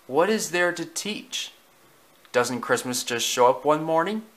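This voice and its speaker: A man's voice: a little high, lively intonation, measured pace, fairly bright tone, voice pushed up. A man voicing an easygoing, somewhat puzzled adult male dog in a storybook reading.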